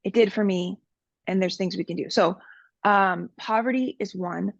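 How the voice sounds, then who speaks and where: A woman talks openly as a podcast guest, her voice carrying a gravelly fry and a steady middle pitch. She speaks earnestly, with plenty of "um" and "like". The sound is close, dry and a bit dull. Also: moderate pace, no room sound. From Miami, USA. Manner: earnest, candid, many fillers. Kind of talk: personal interview talk.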